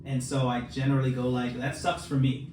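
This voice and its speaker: A man's voice: medium pitch, level tone, a little fast. A man chatting casually as a podcast co-host, joking and self-deprecating about his own writing. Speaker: podcast co-host and fiction writer. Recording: roomy, distant mic, clear background noise.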